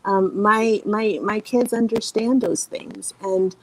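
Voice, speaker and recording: A woman's voice: mid-range voice, steady tone, takes it slowly, a little mellow, slight background noise, low-quality recording. A woman who grew up in the Philippines and speaks Tagalog as her first language, talking earnestly and reflectively as a guest parent over a video call, with many "you know" fillers. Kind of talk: casual panel conversation. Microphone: video call from a hotel room.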